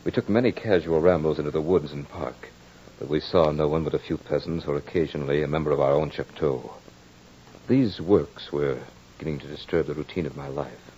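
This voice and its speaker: A man's voice: a bass voice, brisk, dark tone, slight background noise. An actor playing a brooding French nobleman and husband in an old-time radio horror drama, speaking in a formal, grave, theatrical manner. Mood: grave, haunted. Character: brooding French nobleman husband, narrating his tale of dread. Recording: muffled old radio recording with music.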